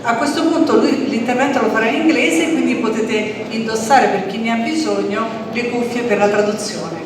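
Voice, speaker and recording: Lady announcing at an event, kinda high voice, super echoey hall, lots of noise. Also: brisk. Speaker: event announcer introducing a speaker. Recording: echoey hall, distant mic, noisy.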